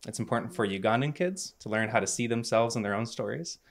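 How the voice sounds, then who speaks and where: A man in his thirties presenting his charity's work to a home audience, earnest and measured, with frequent ums. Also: medium pitch, gravelly. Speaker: nonprofit speaker. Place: living room with stone fireplace, indoors. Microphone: handheld mic.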